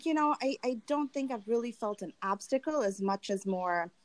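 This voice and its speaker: A woman's voice: fairly high pitch, expressive, moderate pace, dry recording. A young woman from Tanzania, a guest in an interview, talking through her own experiences in a friendly, reflective way with many fillers. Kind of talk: candid personal interview.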